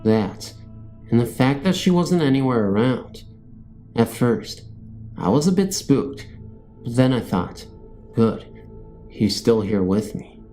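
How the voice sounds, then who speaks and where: A man's voice: medium pitch, expressive, takes it slowly, pauses often, mellow, faint music behind. A man reading scary stories as a narrator, in a scripted storytelling style.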